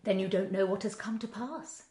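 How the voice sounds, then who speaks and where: A woman's voice: medium pitch, normal speed, reverberant, background noise, natural voice. An actress playing an anxious, genteel older mother-in-law in a British period drama, insistent and pleading. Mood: grave, formal.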